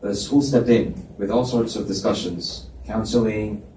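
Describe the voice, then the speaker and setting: A man giving a low-key, earnest live reading of a novel's male lead at a library event. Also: steady tone, a little slow. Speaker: reader voicing the male lead. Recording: roomy, distant mic with faint hiss.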